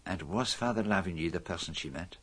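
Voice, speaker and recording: An actor playing a self-assured, methodical detective in a radio drama, speaking formally with dramatic emphasis. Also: male voice, low-pitched, fairly quick, a bit bright. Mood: reflective, dramatic. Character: famous male detective, methodical, self-assured, theatrical in revealing a murderer.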